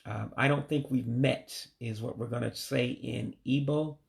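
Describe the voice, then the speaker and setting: A man with a deeply gravelly, creaky voice talks through a home-made language lesson at an unhurried pace. He sounds out each phrase carefully and tentatively, stopping to correct himself and try again. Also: steady tone. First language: English. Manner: slow, careful, tentative pronunciation with self-corrections. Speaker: language learner teaching greetings. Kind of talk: self-taught language lesson.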